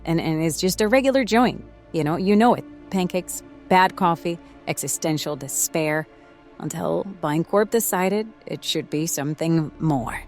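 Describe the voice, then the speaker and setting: Weary, sarcastic diner owner, female, late forties played by an actress. Low register, music underneath, audio drama. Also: animated. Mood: bitter sarcasm. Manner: sarcastic, halting delivery. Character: sardonic, foul-mouthed, weary 47-year-old female diner owner. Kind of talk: horror audio drama acting.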